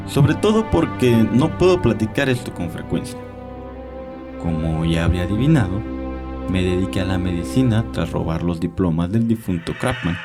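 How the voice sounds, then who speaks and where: An actor playing an elderly, cunning vampire who poses as a doctor, speaking with measured courtesy and cold menace in an audio drama. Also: male voice, lowish voice, lightly acted. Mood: courtly, confiding. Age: older. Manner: courteous, measured, wise-sounding, coldly menacing. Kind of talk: dramatized audio story acting. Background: prominent music.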